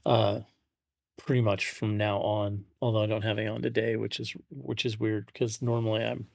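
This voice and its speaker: A man's voice: lowish voice, little pitch movement, moderate pace, gravelly, no room sound. A middle-aged man chatting casually as a podcast co-host, often repeating and restarting his phrases. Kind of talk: casual chat.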